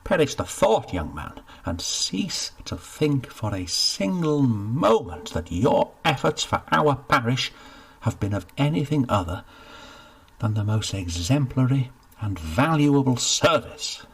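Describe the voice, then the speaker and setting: A man's voice: lowish voice, expressive, normal speed, creaky, hardly any pauses, breathy edge, exaggerated voice. A man voicing a pompous, genial steward who speaks formally and gets flustered. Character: pompous genial middle-aged male steward.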